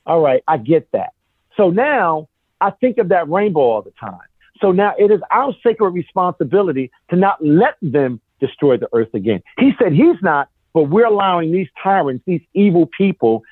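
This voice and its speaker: A man with a fairly high voice and very lively intonation talks in an impassioned, conversational way. He is emphatic and rhetorical, repeating phrases for effect. The recording is dull and lo-fi, like radio. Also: husky, no echo.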